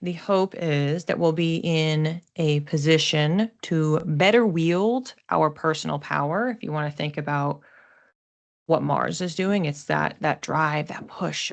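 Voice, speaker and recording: A woman hosting an explanatory astrology talk in a conversational, thoughtful way, with expressive emphasis on key words. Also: low-pitched, gravelly. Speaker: astrologer host.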